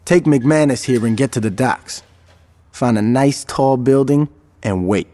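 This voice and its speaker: An actor playing a commanding, wry street gang leader in a video game, speaking in authoritative casual slang. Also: male voice, medium pitch, measured pace, mellow, lightly acted. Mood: briefing.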